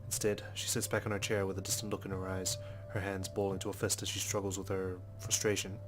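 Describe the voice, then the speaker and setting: A low-voiced man narrates a visual novel for a let's play at a quick clip. His delivery stays even, with little rise and fall, and he drops in casual remarks between the scripted lines. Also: music in the background. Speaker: let's player. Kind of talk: reading a visual novel aloud with casual commentary.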